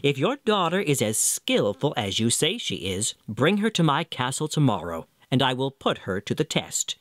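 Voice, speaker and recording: A man's voice: medium pitch, big pitch swings, normal speed, fairly bright tone, dry recording. A man voicing a greedy, commanding king in a fairy tale, with an imperious, stern manner.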